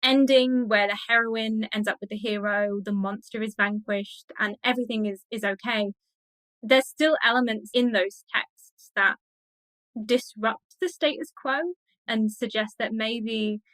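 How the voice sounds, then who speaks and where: Bright-voiced woman, higher pitched, academic guest. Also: steady tone, measured pace, dry recording, poor audio. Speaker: interview guest, academic expert. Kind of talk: conversational interview discussion.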